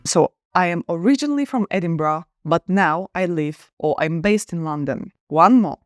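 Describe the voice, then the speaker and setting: A woman teaching an online English lesson, clear, upbeat and encouraging, slowing down to stress example phrases. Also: fairly low pitch, lively intonation, dry recording, clean studio sound, soft background music.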